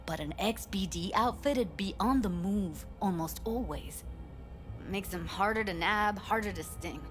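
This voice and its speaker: A woman's voice: mid-range voice, a little slow, over music, close to an everyday voice. An actress playing an angry, foul-mouthed streetwise woman in a video game, blunt and scornful, in a reverberant space. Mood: brooding. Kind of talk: dramatic video game acting.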